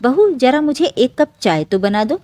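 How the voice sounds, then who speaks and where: A woman voicing a soft-hearted elderly mother and grandmother in a story, emotional and imploring. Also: high voice, sing-song, unhurried, no room sound. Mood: gentle request.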